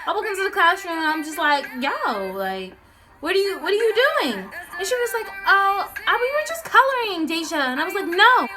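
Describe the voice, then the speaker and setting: A young woman telling a casual, comedic story in a sassy, dramatic, slangy way, acting out the dialogue. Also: very high voice. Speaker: storytelling vlogger. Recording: music playing behind the voice. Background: clear background music.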